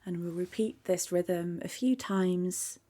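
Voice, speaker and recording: Slow, hushed woman guiding meditation. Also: lowish voice. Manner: soft, calm, hushed, half-whispered at times. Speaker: meditation guide. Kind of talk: guided meditation.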